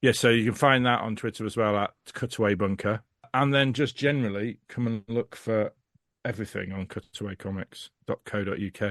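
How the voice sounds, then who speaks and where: A man with a fairly low voice, recorded dry with no room sound. He talks as a podcast guest in a casual, opinionated and blunt conversational way. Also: fairly quick, fairly bright tone, dry recording. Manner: blunt, sweary.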